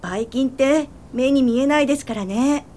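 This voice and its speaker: An actress playing a cheerful, eager young woman puppeteer in a radio drama, with a lively, cute manner. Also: sits high, normal speed, slight background noise, lightly acted.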